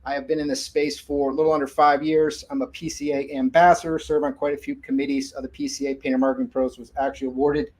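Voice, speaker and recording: A man's voice: mid-range voice, flat delivery, fast, few pauses, a little mellow. A man with an American accent hosts a webinar, presenting in an informal, businesslike style. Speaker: webinar host and marketing agency owner.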